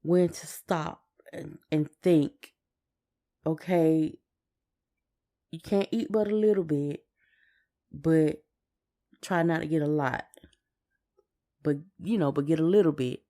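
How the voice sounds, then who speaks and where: A woman's voice: low-pitched, slow pace, long pauses, warm tone. A woman co-hosting a podcast in a casual personal chat, hesitant and often repeating and rephrasing her words. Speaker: podcast co-host.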